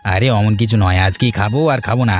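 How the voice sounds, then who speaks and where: A man's voice: lowish voice, fast talker. A man voicing a village fisherman in a cartoon, talking in an easygoing way to his wife and a friend. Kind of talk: cartoon dialogue.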